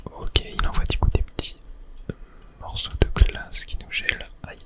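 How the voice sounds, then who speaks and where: A person softly whispering a running ASMR commentary over a video game, in short hushed phrases. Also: normal speed. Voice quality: soft unvoiced whisper. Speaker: gaming ASMR streamer.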